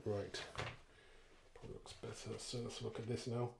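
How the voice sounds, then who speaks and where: A man's voice: lowish voice, level tone, normal speed, darkish tone. A man giving a casual live craft demonstration, talking informally and thinking aloud as he works. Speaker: card making demonstrator. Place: indoor craft room. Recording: roomy echo, clear background noise, clicks.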